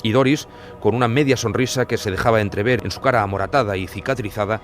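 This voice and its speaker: A male journalist giving scripted true-crime narration in a measured, formal storytelling manner. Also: lowish voice, steady tone.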